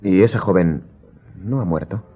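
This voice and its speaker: An actor playing a commanding count in an audio drama, formal, authoritative and coolly sardonic. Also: male voice, sits low, moderate pace, husky. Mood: polite, curious. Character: commanding, coolly ironic count, master of the house. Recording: muffled, band-limited audio.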